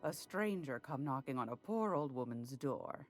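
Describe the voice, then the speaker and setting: Sly, low-voiced folksy old lady. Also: animated, normal speed, faint music behind. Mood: coy, folksy. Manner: folksy, playfully coy. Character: sly folksy old woman running an illicit trade.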